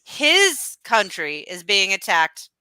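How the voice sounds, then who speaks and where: A woman co-hosting a sports podcast in casual chat, frank and quick to agree or play devil's advocate. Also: mid-range voice, big pitch swings, bright, forceful tone, clear, resonant tone, dry recording. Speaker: podcast co-host.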